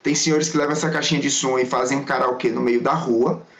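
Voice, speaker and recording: A man's voice: medium pitch, fairly even intonation, quick pace. A man, a Mandarin school teacher and guest, answering casually and rambling through anecdotes. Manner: rambling, storytelling, self-correcting. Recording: dull lo-fi audio.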